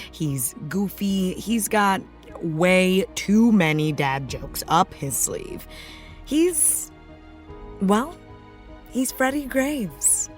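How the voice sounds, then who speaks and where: A woman playing a fond, expressive actress reminiscing in a documentary-style interview. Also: mid-range voice. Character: affectionate actress reminiscing fondly about her co-star in interview.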